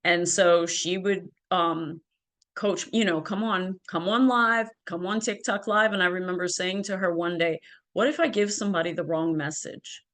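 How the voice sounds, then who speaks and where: A woman's voice: fairly low pitch, normal speed, a bit bright. A woman guest telling her personal story in an interview, earnest and full of ums and restarts, on a video call from home. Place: home, by a ring light.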